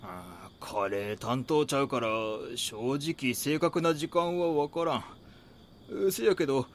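An actor playing a gruff, wary older neighbourhood chief with a Kansai accent in an audio drama. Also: male voice, fairly high pitch, slow, slight background noise.

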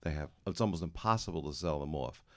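A man in the audience asking the panel a long question, halting and full of fillers. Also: rumbling low, darkish tone.

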